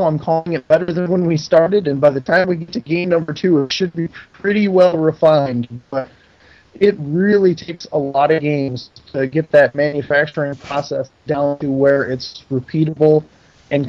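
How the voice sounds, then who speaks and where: A man's voice: fairly high pitch, normal speed, darkish tone, lo-fi sound. A middle-aged man giving a casual interview as a guest, talking in a folksy, self-deprecating and enthusiastic way. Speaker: interview guest, pinball company owner.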